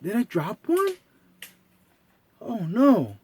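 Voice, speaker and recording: A man voicing an eager, anxious cartoon mouse in a children's storybook, exclaiming excitably. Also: sits high, drawn-out words, slightly rough, voice pushed up, exaggerated voice. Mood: worried.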